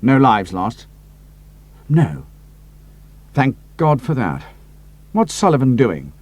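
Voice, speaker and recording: A man voicing a decisive air ace with a crisp, clipped and authoritative manner. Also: mid-range voice, very animated, slow pace. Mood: grave. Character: commanding British air ace, decisive and brisk.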